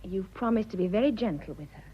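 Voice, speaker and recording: An actress playing a gently teasing, sociable wife with an upper-class English accent in a radio drama. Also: female voice, mid-range voice, lively intonation, fast, husky, muffled recording. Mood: gentle, wry. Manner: light, coaxing, politely sociable. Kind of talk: stage comedy of manners radio acting.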